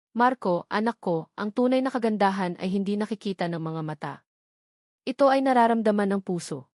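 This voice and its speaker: A woman's voice: medium pitch, darkish tone, dry recording, studio quality, close to an everyday voice. A woman voicing a loving mother's farewell letter to her son, tender and earnest.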